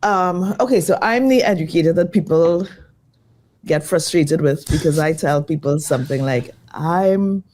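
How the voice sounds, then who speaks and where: A woman design educator, slightly croaky from jet lag, answering interview questions in a lively, thoughtful way. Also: deep voice, moderate pace. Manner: lively, thoughtful, many fillers.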